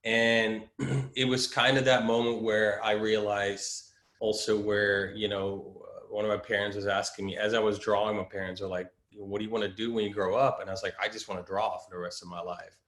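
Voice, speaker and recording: A man from the Netherlands talks through his career in a loose, rambling way, full of ums and restarts. His voice sits fairly low. The video-call audio carries a noticeable room echo. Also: little pitch movement, normal speed, a bit bright. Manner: rambling, many fillers and restarts. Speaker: creative director. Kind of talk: casual interview storytelling.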